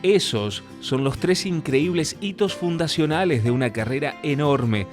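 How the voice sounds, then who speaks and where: A man's voice: on the high side, measured pace. A man with an Argentine accent reading a scripted profile narration as a voice-over, with guitar playing behind him. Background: guitar music.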